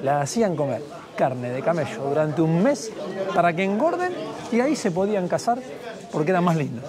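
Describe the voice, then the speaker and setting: A man's voice: on the high side, very animated, fairly quick. Upbeat man guiding a market tour. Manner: enthusiastic, addresses viewers directly. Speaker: travel vlogger. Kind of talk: walking tour commentary to camera. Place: busy outdoor market streets in an old medina. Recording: busy crowd noise outdoors.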